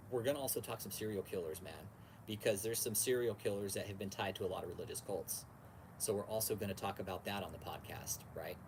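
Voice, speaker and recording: A man's voice: lowish voice, background noise. An American man hosting a casual livestream, chatting with viewers in slangy, repetitive bro-talk with plenty of swearing. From California, United States. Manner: laid-back slangy bro-talk, repeats phrases, swears freely. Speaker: podcast and livestream host.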